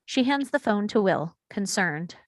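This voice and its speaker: A woman's voice: medium pitch, very animated, unhurried, dry recording, clean studio sound. A woman reading stage directions as scripted narration at a screenplay table read.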